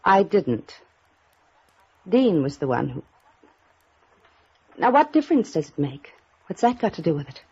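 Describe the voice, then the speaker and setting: A woman's voice: lowish voice, big pitch swings, measured pace, long pauses, mellow, husky, heavily acted. An actress playing a guarded, upper-class young woman with cool, defensive retorts in a 1950s radio drama. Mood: indignant, defensive. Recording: muffled old radio recording.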